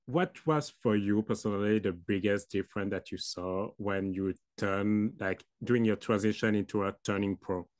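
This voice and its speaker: Low-voiced young male student interviewer. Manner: halting, loosely worded questions. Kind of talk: interview questions.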